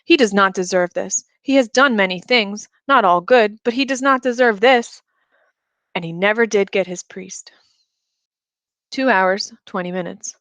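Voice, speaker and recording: A woman reads a novel aloud as a scripted narration. Her voice sits at a middle pitch, and the recording is dry and close, with very little room in it. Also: measured pace, fairly bright tone, dry recording. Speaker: reader of an audiobook.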